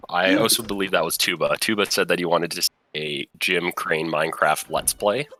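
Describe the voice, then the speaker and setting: A man's voice: low-pitched, normal speed, bright, forceful tone. A man doing casual, playful game commentary in a soothing voice, joking about himself and laughing often.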